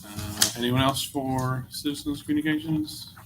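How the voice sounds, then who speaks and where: A man chairing a public meeting, moving briskly through the agenda. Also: mid-range voice, huge pitch swings, creaky, very noisy.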